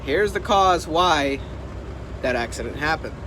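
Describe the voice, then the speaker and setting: A man's voice: on the high side, expressive, measured pace, bright, forceful tone. A man talking casually to the camera as a trucking vlogger, urging his points emphatically and repeating them. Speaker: trucker and video host. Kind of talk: casual vlog talk to camera. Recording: noisy vehicle and outdoor background.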